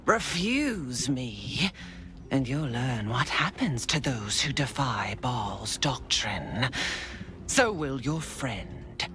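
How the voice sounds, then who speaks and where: A woman's voice: very deep voice, animated, drawn-out words, fairly bright tone, a little hiss or hum, full character voice. An actress playing a sadistic young female assassin of a murder cult, taunting in a sing-song voice that slides into whispers. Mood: menacing. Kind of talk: video game cutscene acting.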